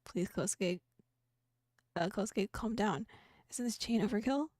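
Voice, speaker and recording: A woman's voice: medium pitch, measured pace, a little mellow, no room sound, studio quality, natural voice. Lonely young male demon, rueful, first-person inner voice, read by a woman. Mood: rueful, pleading.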